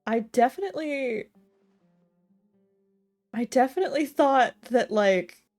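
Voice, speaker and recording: A woman's voice: sits high, expressive, measured pace, a little mellow. A woman livestreaming a game with casual, slangy, self-deprecating commentary and jokes. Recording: game music playing behind voice.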